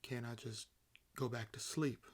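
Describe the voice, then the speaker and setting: A man voicing an ancient vampire in an audio roleplay, nonchalant and amused, speaking with wry condescension and theatrical pauses. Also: mid-range voice, steady tone, natural voice. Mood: wry, resigned. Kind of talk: roleplay audio acting, addressing the listener.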